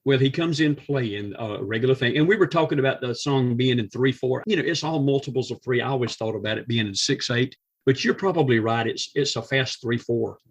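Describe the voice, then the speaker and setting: A man's voice: mid-range voice, a little fast, hardly any pauses, breathy edge. A man, a drummer appearing as a podcast guest, talking casually about music with emphatic enthusiasm.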